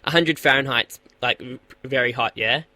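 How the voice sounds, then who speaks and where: A man's voice: medium pitch, moderate pace, sharp tone, dry recording. A man with an Australian accent hosting a radio interview, asking eager questions and often stumbling over his words. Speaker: radio host.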